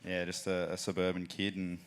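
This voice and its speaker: An Australian man in his thirties from Adelaide, a guest footballer answering questions on stage in a relaxed, plain way. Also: low voice, fairly quick, smooth. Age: 30s. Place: live venue stage.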